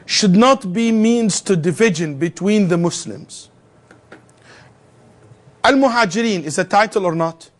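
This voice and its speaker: Guy teaching a theology class, pretty high voice, keeps asking the room questions and cracking jokes. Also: measured pace, pauses often. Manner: animated, asks the class rhetorical questions; joking asides with students. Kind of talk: classroom lecture.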